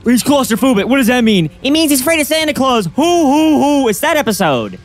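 A man in his twenties streaming a game with friends in casual chat, excitable and jokey. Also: very high-pitched, faint music behind. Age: 20s. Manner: excitable, jokey, quick to laugh loudly.

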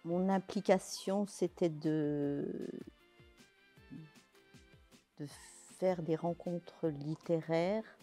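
A woman in her sixties answering interview questions, slowly and hesitantly, with many fillers and restarts, seated in a large bare indoor hall. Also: on the low side, fairly even intonation, warm tone, faint music behind. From France, Pays de Gex. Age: 60s. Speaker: interviewee, literary event organizer.

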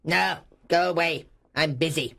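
A man's voice: fairly high pitch, measured pace, a bit bright, voice pushed up. A man voicing a mean, cold old miser in a storybook, with a hard voice and a curt, snapping, scornful manner. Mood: scornful, irritated. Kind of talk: storybook audiobook character voice.

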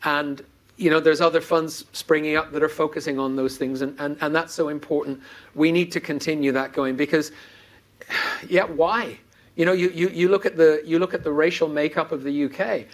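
A man's voice: a little high, steady tone, moderate pace. A man in his fifties hosting a fireside chat on a conference stage, earnest and repeating words when he is indignant. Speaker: panel host. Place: conference stage before an audience. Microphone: lapel mic.

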